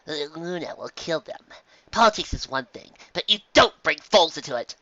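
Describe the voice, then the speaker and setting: A man's voice: a little high, expressive, normal speed, sharp tone, dry recording, dull audio, pitched above the performer's own voice. A man voicing a sardonic, grumbling but loyal mare in a story, muttering in an exasperated way. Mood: scornful.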